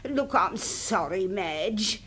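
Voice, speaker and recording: A woman's voice: medium pitch, animated, takes it slowly, fairly bright tone, a little hiss or hum. An actress playing a fussy, self-pitying older English widowed mother in a radio drama. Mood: aggrieved. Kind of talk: BBC radio drama acting.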